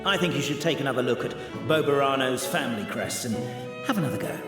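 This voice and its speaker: An actor playing a wry, talkative, politely chiding guide in a video game. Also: male voice, mid-range voice, lively intonation, quick pace, echoey room, big-room sound, music in the background, close to an everyday voice. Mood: dry, explanatory. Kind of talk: video game character acting.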